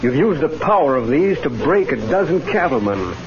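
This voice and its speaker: An actor playing a shrewd, self-assured Western confidence man in vintage radio drama, with a smooth, pointed manner. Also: a little high, sing-song, normal speed, over music. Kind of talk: 1940s-50s radio Western acting. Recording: dull vintage radio recording.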